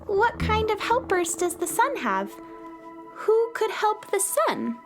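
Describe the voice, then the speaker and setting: Super high little space girl, friendly n curious, sing-song pitch, kids radio play. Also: very animated, takes it slowly, heavily acted. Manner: inquisitive, polite. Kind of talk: children's audio drama acting. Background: loud music.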